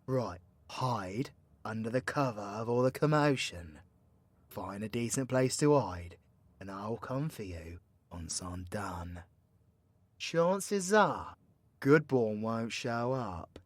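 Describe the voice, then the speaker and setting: A man's voice: on the low side, lively intonation, slow, no room sound. A British man playing a cocky, foul-mouthed supervillain in an audio roleplay, talking in a slangy, mocking, theatrical way to an ally. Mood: scheming, mocking. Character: cocky, foul-mouthed male supervillain plotting mayhem, teasingly mentoring ally. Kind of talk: villain roleplay audio drama, intimate monologue to listener.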